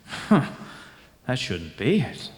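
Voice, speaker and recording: An actor playing a calm, solitary senior lighthouse keeper from the nineteenth century in a radio drama, musing and unhurried. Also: male voice, medium pitch. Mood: steady, practical.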